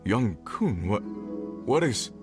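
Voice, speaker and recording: A man's voice: lowish voice, very animated, very slow, full character voice. An actor playing an earnest, polite foreman in a video game, speaking in an everyday manner. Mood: relieved, puzzled. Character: earnest, grateful male foreman searching for his workers. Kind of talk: scripted video game acting. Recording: music behind the voice.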